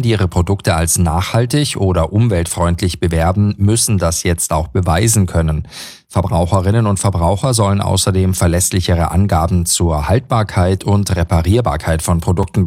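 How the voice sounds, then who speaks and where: A man reading the radio news in a calm, measured, formal way. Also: deep voice, slightly rough, dry recording, studio quality. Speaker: newsreader.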